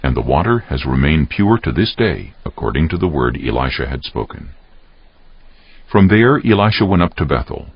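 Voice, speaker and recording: Very deep, gravelly male narrator. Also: big pitch swings, moderate pace, dry recording. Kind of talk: scripted dramatized scripture narration. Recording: muffled audio over faint music.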